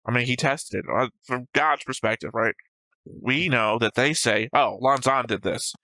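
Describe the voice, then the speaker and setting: Bright-voiced man talking things through casually. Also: medium pitch, normal speed, no echo, low-quality recording. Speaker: host of an anime reaction show. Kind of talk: casual discussion and analysis of an anime episode.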